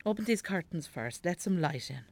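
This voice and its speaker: A woman's voice: fairly low pitch, expressive, a little fast, darkish tone, slight background noise. An actress playing a sick, elderly Irish mother in a radio drama, demanding and teasing, with a plaintive, contrary manner. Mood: nagging, demanding. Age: older. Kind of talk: naturalistic radio drama acting.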